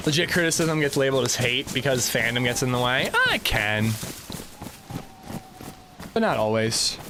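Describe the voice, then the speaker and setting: Chatty animated man streaming a game. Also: mid-range voice, normal speed, background noise. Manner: rambling, chatty, swears, reads chat aloud. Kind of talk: casual livestream chat while gaming.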